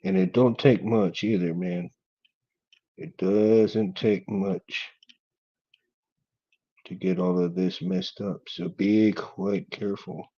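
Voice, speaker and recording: Male maker vlogger, deep monotone voice, laid-back working chatter on a dull lo-fi mic. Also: slow, pauses often, husky. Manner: laid-back, talks quietly while working. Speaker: hobby craft vlogger. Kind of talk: casual working chat while building.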